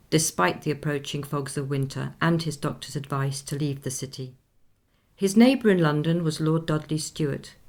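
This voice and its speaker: A composed woman recounts a story in a radio drama. Her voice is very low for a woman, with a marked creak at the edges. She speaks in measured, articulate sentences, like someone carefully telling a history she knows well. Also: darkish tone. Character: Miss Lind, composed woman recounting a composer friend's last tour. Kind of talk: scripted radio play narration.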